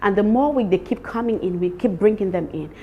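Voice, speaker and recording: A woman's voice: mid-range voice, fairly quick, dull audio, a little hiss or hum. A woman from Cameroon with a Cameroonian accent, speaking emphatically as an interviewed event organiser and repeating words for stress. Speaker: summit organiser being interviewed. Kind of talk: promotional interview talk.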